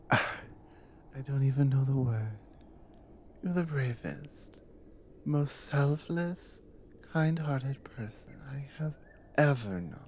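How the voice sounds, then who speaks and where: A woman playing a devoted, teasing Nordic warrior wife in an intimate ASMR roleplay, speaking in a soft, breathy murmur. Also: extremely low pitch, a little hiss or hum, exaggerated voice. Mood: tender, teasing. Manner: soft, breathy, hushed murmur with unhurried pauses.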